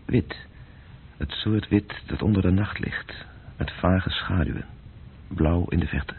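A man narrating a literary radio drama in a measured, descriptive way, with a deep, gravelly voice. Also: slight background noise. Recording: muffled narrow-band radio play recording.

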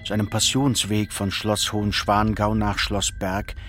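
A man narrating a literary radio drama in a measured, solemn manner. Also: lowish voice, completely flat delivery, fairly bright tone, soft background music.

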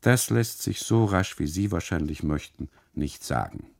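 A man voicing an evasive, fussy custodian in a radio play, speaking formally and deliberately. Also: sits low, expressive, vocal fry, darkish tone, heavily acted. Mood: formal, withholding. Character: evasive, fussy male custodian of a Sicilian tower. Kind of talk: radio play monologue, quoted dialogue.

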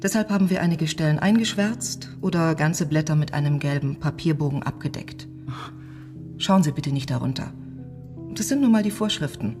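An actress playing a restrained archive official in a radio drama, speaking in a measured, bureaucratic manner. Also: female voice, sits low, over music, natural voice.

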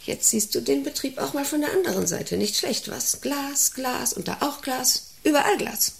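Bossy oddball factory boss lady, gravelly creak, rambly and nosy, radio play. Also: sits high, lively intonation, normal speed. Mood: expansive, restless. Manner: rambling, commanding, intrusive questioning. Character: domineering, eccentric female factory director, needling an employee. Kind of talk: German radio play acting.

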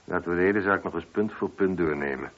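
Deep-voiced probing police inspector, muffled radio. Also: male voice, fast talker, a bit bright. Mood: analytical. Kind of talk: Dutch radio play acting. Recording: dull, muffled old radio recording.

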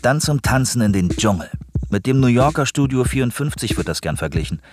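A man's voice: mid-range voice, lively intonation, brisk, music in the background. A man narrating a scripted radio documentary.

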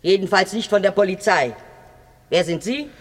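A woman's voice: mid-range voice, normal speed, natural voice. A woman playing an elderly, resolute hospital nurse in a German radio crime play, stern, curt and bossy. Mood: brisk, stern. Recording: old radio play, faint noise.

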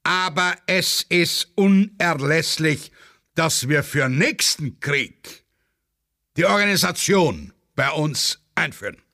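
A man's voice: fairly high pitch, expressive, slow pace, sharp tone, exaggerated voice. A man playing a pompous, muddled Austrian general with an Austrian accent, speechifying to his officers in a satirical radio play. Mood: pompous, complacent.

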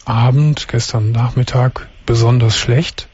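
A man hosting a late-night radio call-in show, asking calm, patient and gently probing questions. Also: mid-range voice, unhurried, breathy edge, no room sound. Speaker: radio talk show host. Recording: dull lo-fi radio audio.

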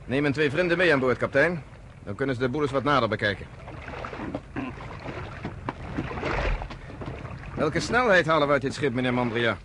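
A man's voice: mid-range voice, expressive, fast talker, noisy background, lightly acted. An actor playing a firm, shrewd, commanding male leader in a radio drama. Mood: businesslike. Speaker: underground organizer.

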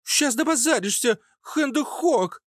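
A man voicing a defiant, foul-mouthed teenage girl with a squeaky, snappy voice in a narrated story. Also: lively intonation, unhurried, no room sound, studio quality, exaggerated voice. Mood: furious threatening. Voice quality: squeaky, shrill. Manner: snappy, hissing, insolent.

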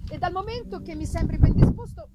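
A woman's voice: extremely high pitch, a little fast, lo-fi sound. A woman voicing a devoted, concerned young man, earnest and pleading, in a theatrical reading of a gothic novel. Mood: gentle, reassuring. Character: Clerval, alarmed and devoted young male friend. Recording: noisy live festival recording.

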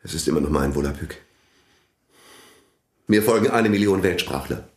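Indignant old priest, swooping low voice. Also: male voice, animated, normal speed. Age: older. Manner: pompous, indignant. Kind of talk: radio play acting. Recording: roomy echo.

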